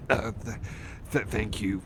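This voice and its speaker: A man's voice: on the low side, lively intonation, takes it slowly, vocal fry, heavily acted. A man playing an anxious, timid cyborg buddy in an ASMR roleplay, stammering, hesitant and rambling, repeating himself as he talks intimately to the listener. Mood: frightened, flustered. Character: anxious, timid young male cyborg buddy, gentle and apologetic. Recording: noisy thunderstorm ambience behind voice.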